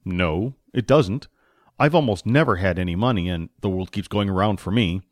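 A man's voice: low-pitched, moderate pace, dry recording, clean studio sound, natural voice. A man voicing a big, naive, curious barbarian in a fantasy audiobook, with simple and earnest questioning. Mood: puzzled.

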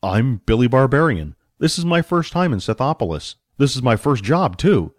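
A man's voice: medium pitch, sing-song, moderate pace, no echo, clean studio sound, natural voice. A man playing a big, naive, good-natured young barbarian in an audiobook, speaking earnestly and simply. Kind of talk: audiobook character voice.

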